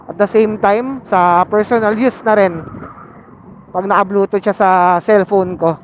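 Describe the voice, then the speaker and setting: A man's voice: sits high, steady tone, unhurried. A Filipino man chatting casually as a motovlogger while riding, mixing English phrases into his talk through a helmet intercom mic. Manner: code-switches into English phrases, filler-laden. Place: on a motorcycle in city traffic. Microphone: Bluetooth helmet intercom linked to action camera. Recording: muffled helmet intercom mic with vehicle noise. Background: faint vehicle and road noise.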